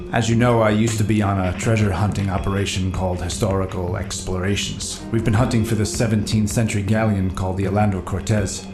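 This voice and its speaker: A man's voice: on the low side, reverberant, lightly acted. An actor playing a rugged middle-aged treasure hunter and boat captain in an audio drama, telling his story in a casual, reflective way. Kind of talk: naturalistic full-cast audio drama acting.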